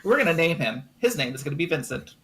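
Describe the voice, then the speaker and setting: Guy running a tabletop game, gravelly voice, kinda bright and sharp, lots of ums. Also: a little high, very animated, slight background noise. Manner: halting, improvised narration full of ums. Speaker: game master.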